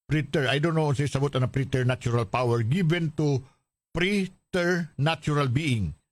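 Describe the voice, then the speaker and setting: Fairly high-voiced Filipino man hosting radio. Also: measured pace, dry recording. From Philippines. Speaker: radio drama show host and commentator. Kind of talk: casual radio chat, reading listener messages and greetings. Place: radio studio.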